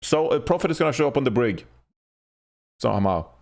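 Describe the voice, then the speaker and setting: A man's voice: medium pitch, expressive, a little fast, gravelly, a little mellow. A man hosting a casual esports panel, moderating and talking analytically through match predictions. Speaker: podcast host.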